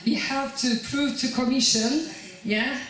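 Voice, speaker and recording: A woman giving a conference presentation on a project, talking in a halting way as she searches for her words. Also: high-pitched, fairly even intonation, a bit bright. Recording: very echoey hall, voice from far off.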